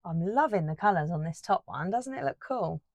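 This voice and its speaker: A woman chats away while painting, looping back with fillers and restarts. Her voice sits a touch low and sounds a bit croaky. The sound is dry and close, recorded on a phone. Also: expressive, normal speed, a little mellow, no room sound. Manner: rambling, chatty, many fillers and restarts. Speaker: art YouTuber. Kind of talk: casual chat while painting.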